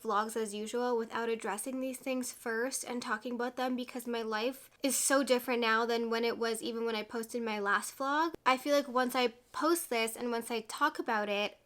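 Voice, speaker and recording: A woman's voice: a little high, sharp tone. A woman in her late twenties chatting candidly to her viewers as a YouTube vlogger, a little congested from a cold and full of "like" fillers. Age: 20s. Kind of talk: casual sit-down chat to camera.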